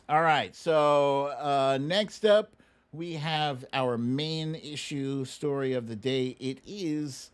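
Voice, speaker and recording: An American man hosting a live show with casual, joking commentary, speaking informally to his viewers. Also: medium pitch. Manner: informal, joking, laughs easily. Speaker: host of a live web show.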